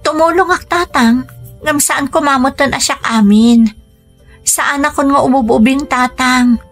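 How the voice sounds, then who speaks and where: Young ilocana daughter in a radio drama, highish voice, earnest and weary, soft music behind. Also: female voice, a little slow. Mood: earnest, pleading. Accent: Filipino, Ilocano. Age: young adult. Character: dutiful, weary young Filipina daughter working overseas.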